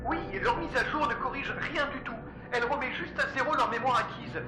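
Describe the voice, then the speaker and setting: A woman's voice: high voice, fairly quick, lightly acted, low-quality recording. A woman playing an earnest, stubborn male robotics scientist in an audio drama, insistent and urgent. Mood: excited, urgent. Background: music.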